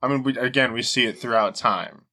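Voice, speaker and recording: A man's voice: medium pitch, fairly quick, pushed and bright. A man co-hosting a podcast in casual comedic chat, joking and casually profane. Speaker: podcast co-host.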